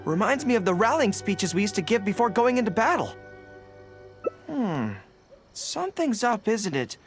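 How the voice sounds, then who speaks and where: A man's voice: high voice, very animated, moderate pace. A man playing a shy, dutiful young army general in a video game, speaking in an earnest, boyish, sometimes hesitant way. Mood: curious. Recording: game music behind the voice.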